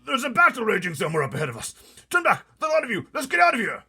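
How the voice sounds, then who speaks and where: A man doing a cowardly army chief, high and loud. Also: huge pitch swings, very fast, vocal fry, husky, exaggerated voice. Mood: panicked. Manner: alarmed, bossy shouting. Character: cowardly, panicking male head of the army. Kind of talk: storybook character voice in a readaloud.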